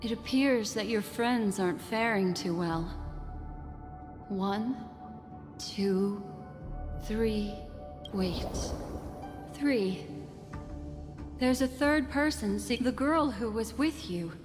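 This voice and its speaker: Composed mystic guide, formal phrasing, unhurried, cautious, hall reverb, video game. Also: female voice, fairly high pitch. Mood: focused, intent. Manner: measured, formal, deliberate phrasing. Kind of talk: dramatic video game voice acting. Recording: long hall reverb under game music.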